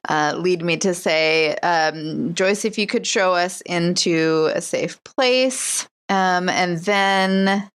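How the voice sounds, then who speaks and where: A woman plays a tabletop role-playing game, casually talking through what her character does. Her voice is fairly low and very smooth. She takes her time. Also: slow pace, few pauses.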